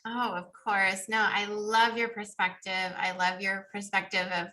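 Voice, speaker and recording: A woman hosts an interview podcast over a remote call with a bright, forceful voice. She is effusive and enthusiastic, keeping the exchange conversational. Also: mid-range voice, level tone, unhurried. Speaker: podcast host.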